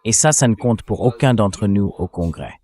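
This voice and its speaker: A man's voice: fairly low pitch, animated, normal speed, warm tone. A man's AI dubbing voice delivering blunt, indignant, sarcastic political commentary. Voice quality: synthetic AI dubbing voice.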